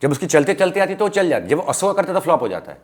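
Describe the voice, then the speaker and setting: A man's voice: on the high side, big pitch swings, rapid-fire. An Indian man in a casual film discussion, speaking emphatically and giving firm opinions, mixing English phrases into his Hindi.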